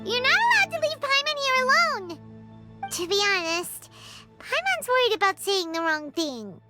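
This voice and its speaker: A woman voicing a tiny, childlike, anxious companion girl with a squeaky voice in an anime game. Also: very animated, moderate pace, music in the background. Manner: refers to self in third person, flustered.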